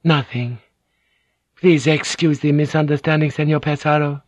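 A woman's voice: low voice, fairly even intonation, no echo, voice pushed up. A male narrator voicing a distressed, polite young Japanese noblewoman who stammers and halts. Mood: wary. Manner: halting, stammering, courteous.

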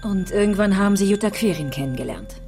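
An actress playing a matter-of-fact police detective in a naturalistic radio crime drama, probing in a businesslike way. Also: female voice, mid-range voice, lively intonation, quick pace, gravelly, a little mellow, faint music behind. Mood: polite, questioning.

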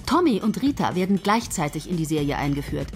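An actress playing a confident head writer who steers her team in a brisk, patronizing and persuasive manner. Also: low voice, big pitch swings, soft background music. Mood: bossy. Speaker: head of a soap writing team. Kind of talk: radio play dialogue.

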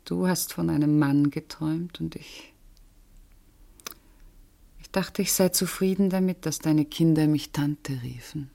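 A woman's voice: low voice, unhurried, gravelly, long pauses. An actress playing a sensible, dryly teasing middle-aged woman in a naturalistic radio drama. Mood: wistful. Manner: dry, teasing, expressive. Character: wry, sensible middle-aged woman, loyal longtime friend.

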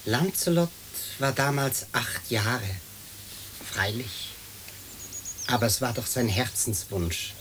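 An actor playing a wistful, devoted dead father who speaks in a measured way in a radio play. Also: mid-range voice, animated, a bit bright, a little hiss or hum. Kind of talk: German radio play acting.

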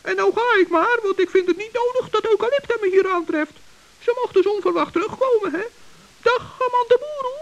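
A woman's voice: very high-pitched, a little fast, dull audio. A woman voicing a cheerful, brave male forest gnome in an old children's radio play, chatty and earnest. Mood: chirpy.

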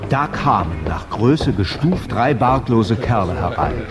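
A man playing the storyteller of a radio drama, narrating in a measured, precise, literary rhythm. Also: lowish voice, lively intonation, music in the background. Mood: measured, storytelling. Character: male storyteller of an English pub miniature. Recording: crowd chatter behind the voice. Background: pub crowd chatter.

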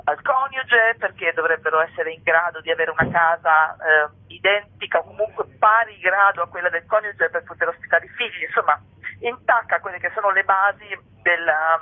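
A woman's voice: fairly low pitch, narrow, tinny audio. A woman speaking as an interviewed author, explaining politics in an articulate, argumentative way with ironic asides. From Italy.

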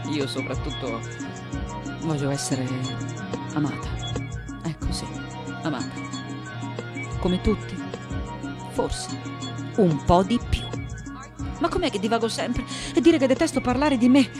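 Animated elderly woman artist played by an actress. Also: mid-range voice, over loud music, close to an everyday voice. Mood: quiet, wistful, then wry, exasperated. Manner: confessional, self-correcting, emphatic repetitions, dramatic pauses. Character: elderly woman sculptor, candid, restless, irreverent, vulnerable. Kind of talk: theatrical radio monologue.

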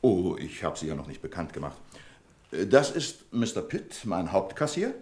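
Pompous, animated bank manager, low voice. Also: male voice, normal speed. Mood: jovial. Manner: pompous, officious, delegating with relish. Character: self-important, suspicious male bank branch manager, fussy and talkative. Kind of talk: German radio play acting.

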